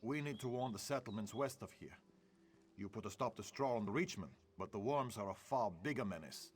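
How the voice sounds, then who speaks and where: An actor playing a gruff, weary centurion in a video game, plain-spoken and wry. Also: male voice, on the low side, expressive, fairly quick. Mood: businesslike. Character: gruff male soldier, a centurion and family man, weary. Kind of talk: video game dialogue acting.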